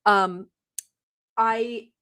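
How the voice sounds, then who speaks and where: A woman's voice: a little high, steady tone, extremely slow, sharp tone, clear, resonant tone. A young woman born in Turkey, Turkish her first language, hosting a casual livestream, chatty and teasing, laughing often and swearing casually. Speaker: livestream host. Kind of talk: casual livestream chat reacting to a video.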